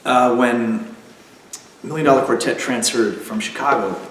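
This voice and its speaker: A man, a stage actor and musician, chatting casually in an interview and telling stories with lively asides. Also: medium pitch, a little slow, a little hiss or hum. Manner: animated storyteller, acts out voices of others in anecdotes. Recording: echoey room, distant from the mic.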